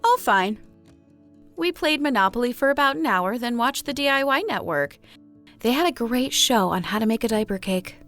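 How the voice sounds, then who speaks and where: A woman's voice: a little high, expressive, moderate pace, fairly bright tone, faint music behind. An actress voicing a wife who answers her husband in a dry, sardonic way. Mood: wry.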